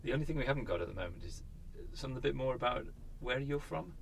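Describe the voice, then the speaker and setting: A man's voice: medium pitch, lively intonation, quick pace, creaky, slight background noise. A man interviewing people in casual, unscripted conversation, often breaking off and restarting his sentences.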